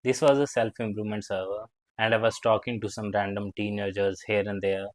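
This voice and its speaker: A man's voice: fairly low pitch, little pitch movement, brisk, no echo. A man in his twenties, a fitness vlogger, telling a rambling personal story with lots of fillers and grammar slips. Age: 20s. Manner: rambling, filler-heavy, with frequent "like" and non-native grammar slips. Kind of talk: casual storytelling to camera.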